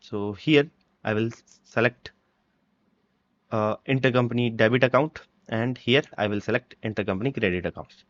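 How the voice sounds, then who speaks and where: Guy walking thru software setup bit by bit, choppy phrases, dry close mic, kinda lo-fi. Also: mid-range voice, moderate pace, no room sound. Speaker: software tutorial presenter. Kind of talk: step-by-step screen-recording walkthrough. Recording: dull, lo-fi microphone sound.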